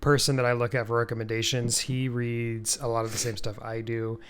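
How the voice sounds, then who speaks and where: A man co-hosting a podcast in casual chat, talking in an easygoing, measured way. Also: mid-range voice, flat delivery, noisy background. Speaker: podcast co-host.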